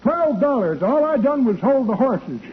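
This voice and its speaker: An actor playing a cheerful old codger who spins tall tales in folksy, ungrammatical, rambling speech, in old radio comedy style. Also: male voice, very high voice, very animated, moderate pace. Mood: cheerful, boastful. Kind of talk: 1940s-50s radio comedy acting. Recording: tinny noisy old radio recording.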